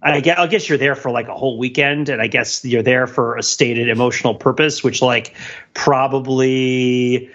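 A man's voice: medium pitch, fairly even intonation, moderate pace, a bit bright. An American man co-hosting a podcast, riffing playfully in casual, mock-earnest comic banter over a slightly lo-fi microphone. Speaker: podcast co-host. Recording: slightly dull, lo-fi microphone sound.

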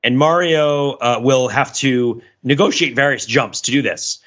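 A man's voice: mid-range voice, measured pace, fairly bright tone, dull audio. A man co-hosting a podcast, explaining things in a casual, discursive way and often checking in as he goes. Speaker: podcast co-host.

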